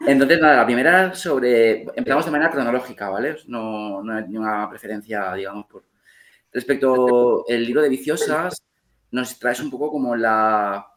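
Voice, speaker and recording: A man hosting a podcast interview, relaxed and hesitant as he sets up questions for the guests. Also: mid-range voice, normal speed. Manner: relaxed, hesitant, with fillers and restarts. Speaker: podcast host. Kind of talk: casual interview moderation.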